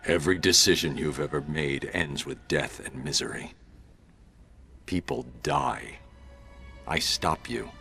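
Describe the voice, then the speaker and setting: A man voicing a grim, stoic masked vigilante in a video game, gruff and grave. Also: a little slow, pauses often, soft background music, heavily acted. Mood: grim, weary. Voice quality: deep, gruff. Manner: grave, deadpan, restrained.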